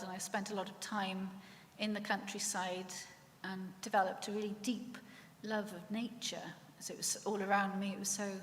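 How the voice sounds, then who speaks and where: A middle-aged woman with a Welsh accent telling her faith story in church, earnest and reflective, pausing often. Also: medium pitch, a bit bright. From Wales. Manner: earnest and reflective, with pauses and restarts. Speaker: church speaker sharing her testimony. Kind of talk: personal faith testimony. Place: church hall. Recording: echoey church with long reverb, faint room noise.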